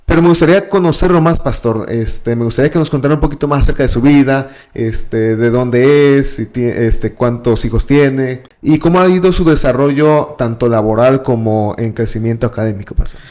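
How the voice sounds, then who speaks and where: A man with a Mexican Spanish accent hosts a casual church podcast. He asks long, winding questions and fills the gaps with "este". His voice sits at a middle pitch. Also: brisk, few pauses, darkish tone. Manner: friendly, rambling questions with "este" fillers. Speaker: podcast host. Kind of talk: casual interview hosting.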